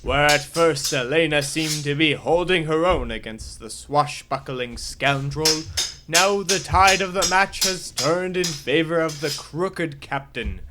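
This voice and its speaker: An actor playing a dramatic adventure-serial narrator, reading scripted radio drama narration. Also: male voice, a little high, a little slow, over music, close to an everyday voice. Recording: clearly noisy recording.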